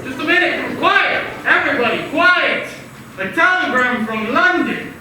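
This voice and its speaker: Woman playing a man hushing a room and reading a telegram, high voice; far and echoey. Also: animated, measured pace. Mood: announcing. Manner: commanding, then reading. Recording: distant, very echoey, noisy stage recording.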